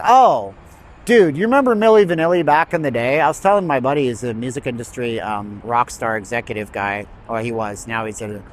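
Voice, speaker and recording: A man with a fairly high voice is streaming live from an outdoor city street. He reads viewer comments aloud and talks loosely, with strong opinions and quick asides to his chat. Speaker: livestream host. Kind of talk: casual livestream chat, reading viewer comments.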